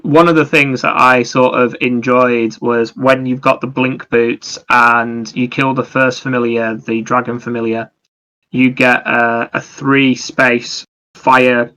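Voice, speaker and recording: Male co-host for casual gaming chat. Bright tone, narrow pitch movement, explanatory. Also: flat delivery, a little slow. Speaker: podcast co-host. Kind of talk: casual video game discussion.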